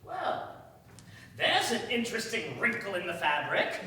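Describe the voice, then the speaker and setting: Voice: mid-range voice, huge pitch swings, unhurried, sharp tone, full character voice. An actor playing a wry, questioning man in a staged radio play. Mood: measured. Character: wry, sceptical man with sympathy for the underdog. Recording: echoey stage, distant mic, faint noise.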